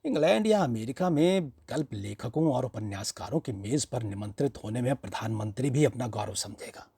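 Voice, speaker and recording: A man narrating a story in an expressive, conversational way, dramatizing rhetorical questions as he goes. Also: medium pitch, normal speed, vocal fry, slightly rough, no echo, clean studio sound. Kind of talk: expressive audiobook-style storytelling.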